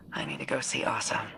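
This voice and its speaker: An actress playing a tough, wry deputy commander in a video game, brisk and dry under fire, over game music. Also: female voice, noisy background.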